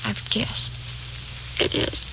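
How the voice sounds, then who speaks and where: A woman's voice: slow pace, lightly acted. An actress playing a frightened, bitter, lonely bedridden mother in a radio drama, with plaintive, insistent, brooding speech. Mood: agitated. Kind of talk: 1950s radio drama acting. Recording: muffled, hissy 1950s radio recording.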